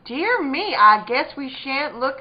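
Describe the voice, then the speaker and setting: A woman's voice: sits high, sing-song, slow pace, full character voice. A woman playing a practical, scolding, protective rural widow with folksy, fussing speech in an old-time radio drama. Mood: brisk, indignant. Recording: muffled live stage recording.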